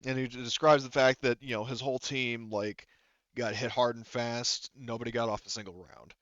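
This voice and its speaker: Bright-voiced male game master, halting storyteller. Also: mid-range voice, steady tone, no room sound, lo-fi sound. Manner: halting, discursive, emphatic storytelling. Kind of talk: improvised narration of a tabletop roleplaying game.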